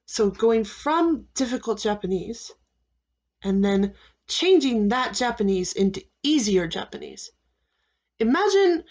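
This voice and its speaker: An American woman teaching English, giving students slow, deliberate advice and repeating key phrases with frequent "okay?" checks. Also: fairly high pitch. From United States. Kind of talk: classroom advice talk to students.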